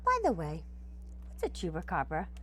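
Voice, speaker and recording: A woman's voice: low voice, huge pitch swings, normal speed, a bit bright, heavily acted. Woman acting a nervous, fretful storybook goat. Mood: worried. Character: nervous, easily frightened, slightly silly goat.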